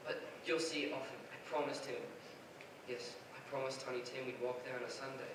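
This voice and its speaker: An actor playing a gentle, grieving father and clerk in a 1940s-style radio drama. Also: medium pitch, flat delivery, a little fast, background noise, close to an everyday voice. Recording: echoey stage from far off.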